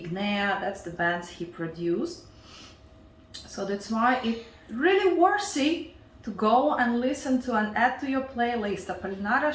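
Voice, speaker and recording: A woman's voice: mid-range voice, echoey room, recorded from a distance, slight background noise, rough recording. A woman with a Ukrainian accent hosting a casual cooking demonstration, chatty and often checking in with her viewers. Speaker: cooking show host.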